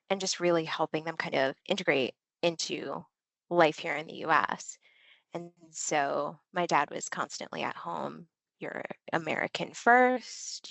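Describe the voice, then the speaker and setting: A woman's voice: low-pitched, gravelly, fairly bright tone, dry recording, poor audio. A woman, a Marine veteran linguist, talking candidly as a podcast guest, with loose fillers. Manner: candid and chatty, with filler words. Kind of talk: casual interview chat.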